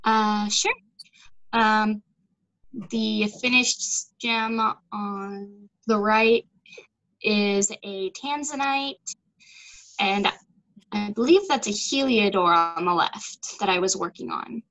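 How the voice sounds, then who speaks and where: A woman's voice: mid-range voice, takes it slowly, a bit bright, poor audio. A teenage girl from the United States introducing herself as a guest on a video call, hesitant and a little shy. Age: teens. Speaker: panel guest. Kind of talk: casual self-introduction.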